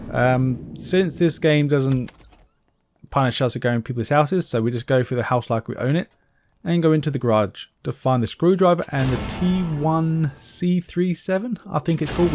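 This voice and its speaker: A man's voice: on the high side, moderate pace, a little mellow. Man doing casual game walkthrough, noisy background. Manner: explains step by step, informal. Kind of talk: casual gameplay commentary.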